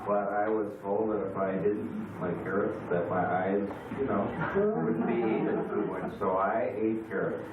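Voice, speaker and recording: A man of sixty-six recalling his childhood in a halting, reflective way for a family oral history. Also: on the low side, lively intonation. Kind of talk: recorded oral history reminiscence. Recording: tinny, echoey, distant, noisy home recording.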